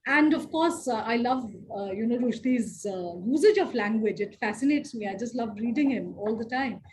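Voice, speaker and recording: A woman's voice: a little high, animated, moderate pace, a little mellow, slight background noise. A woman in a literature discussion, answering questions politely with many fillers and restarts. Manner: polite, addresses others as ma'am.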